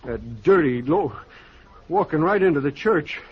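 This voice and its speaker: A husky, fairly high male voice as an angry young man of the frontier. He is agitated and vehement. The sound is that of a dull old radio western. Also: expressive, a little slow. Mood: frantic, outraged. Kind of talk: 1950s radio western acting.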